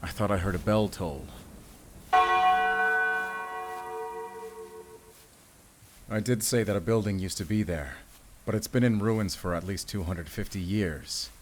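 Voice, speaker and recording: A man playing a gruff, guarded but protective werewolf hunter with a German accent, speaking deliberately in a cinematic audio drama. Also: sits low, noisy background, close to an everyday voice. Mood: measured storytelling. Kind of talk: cinematic audio roleplay acting. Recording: music behind the voice.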